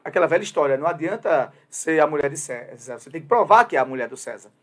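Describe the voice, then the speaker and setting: A man's voice: a little high, animated, quick pace. A man from Brazil giving opinion commentary on his show, hesitant with many fillers at first, then emphatic and insistent. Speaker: commentator on a radio or web show.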